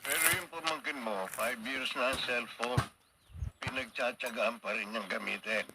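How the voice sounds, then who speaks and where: An actor playing a fair, worried middle-aged father in a radio drama, measured and reproachful, on a noisy recording. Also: medium pitch, close to an everyday voice. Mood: concerned. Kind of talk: Philippine radio drama acting.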